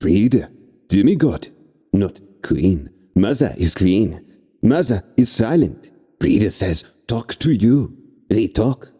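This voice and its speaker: A man's voice: on the low side, sing-song, takes it slowly, warm tone, slightly rough, long reverb tail, voice pushed up, full character voice. A man voicing an insect-headed demon humanoid with a German accent and clipped, broken phrasing, his voice lifted well above his own. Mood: insistent.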